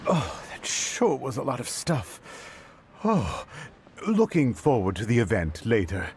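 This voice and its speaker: An actor voicing a good-natured, slightly defensive porter in a video game, earnest with a bit of grumbling. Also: male voice, mid-range voice, wildly swooping pitch, unhurried, background noise. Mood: easygoing. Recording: music behind the voice. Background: music.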